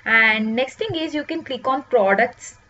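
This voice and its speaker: A woman presenting a food ordering web app, explaining each step with many "uh" pauses. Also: fairly high pitch, bright, forceful tone. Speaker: software demo presenter. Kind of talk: screen-recorded product walkthrough. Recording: dull lo-fi voice-over with faint hiss.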